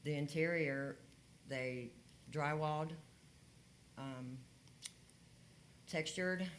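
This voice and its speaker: A man's voice: fairly high pitch, extremely slow, a bit bright, a little hiss or hum. A man working as a code enforcement officer, reporting his findings at a county hearing in a plain, matter-of-fact way with frequent fillers.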